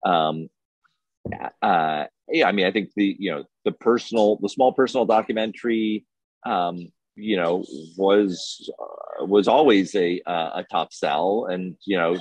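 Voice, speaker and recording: A middle-aged man speaking as an interview guest, thoughtful and hesitant, often pausing to deliberate mid-sentence. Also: low-pitched, no echo. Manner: halting, deliberating, many long hesitations. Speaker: film festival organiser, interview guest. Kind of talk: thoughtful panel conversation.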